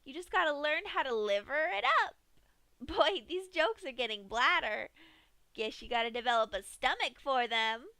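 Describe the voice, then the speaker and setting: A woman putting on a giddy, cheerfully cruel pink pony mare. The voice rides high and bright with a bouncy singsong lilt. It sounds dry and close to the mic. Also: expressive, moderate pace, no room sound, heavily acted. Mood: joking. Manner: bubbly, singsong, punning playfully.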